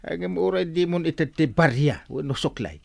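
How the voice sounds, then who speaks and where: A man with an Ilocano Filipino accent playing a brisk, generous village captain in a radio comedy drama, folksy and exclamatory. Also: on the high side, gravelly, darkish tone, no room sound.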